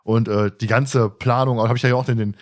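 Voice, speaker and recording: A man's voice: medium pitch, steady tone, a little fast. Chatty male podcast host. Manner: chatty, often chuckles while telling anecdotes. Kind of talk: casual podcast chat.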